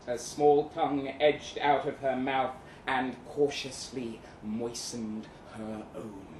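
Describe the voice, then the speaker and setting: An actor playing a boastful, lecherous Russian soldier in a florid, theatrical live story reading, savouring each phrase. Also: male voice, medium pitch, little pitch movement, slow pace, a little mellow, lightly acted. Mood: seductive, savouring. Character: boastful, lecherous crippled Russian soldier advising lovelorn friend. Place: indoor live reading event in London venue. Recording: roomy live venue, background noise.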